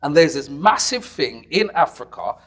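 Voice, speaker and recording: A middle-aged man of Caribbean heritage hosting an interview in a dark studio, playful and teasing, on a lapel mic. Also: a little high, very animated, normal speed, slightly rough. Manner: playful and teasing, laughs often. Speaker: interview host. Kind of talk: casual interview chat. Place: dark studio set, indoors.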